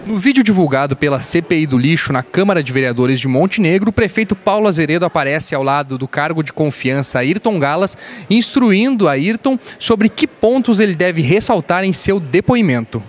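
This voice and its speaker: A man's voice: fairly high pitch, lively intonation, moderate pace. A man reporting news in a formal scripted voice-over.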